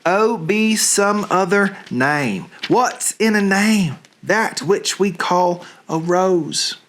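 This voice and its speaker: Young romantic heroine male performer: yearning, earnest, theatrical stage verse, unhurried, very animated intonation. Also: high-pitched, full character voice. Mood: pleading, lovelorn. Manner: theatrical, halting reading of Shakespearean verse.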